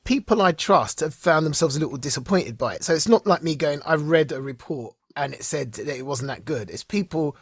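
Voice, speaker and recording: A man's voice: on the high side, animated, a little fast, hardly any pauses, husky, dry recording, clean studio sound. A man co-hosting a podcast, talking with enthusiasm and tripping over his words. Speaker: podcast co-host. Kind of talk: casual chat.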